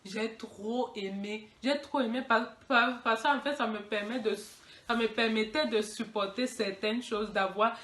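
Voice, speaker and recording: A woman's voice: fairly high pitch, unhurried. Laughing young woman in an echoey room. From Cameroon. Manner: animated, laughs often. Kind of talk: casual group chat. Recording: echoey room with music behind.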